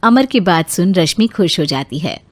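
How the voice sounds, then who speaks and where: A woman narrating a scripted animated family story. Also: on the low side, moderate pace, no echo.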